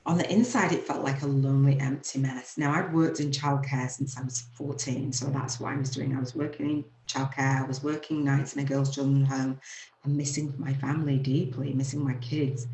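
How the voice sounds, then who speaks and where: A woman's voice: extremely low pitch, level tone, brisk, a little mellow, a little hiss or hum. A woman with a Leeds Yorkshire accent, hosting her own show and earnestly telling her personal story, laughing now and then through emotional moments. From England, UK. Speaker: show host. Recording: echoey room.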